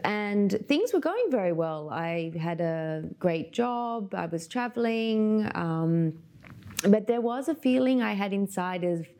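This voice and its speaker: A woman in her thirties from Australia, a yoga teacher telling her life story as a podcast guest in a thoughtful, unhurried way. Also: mid-range voice, animated, mellow. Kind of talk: reflective storytelling interview.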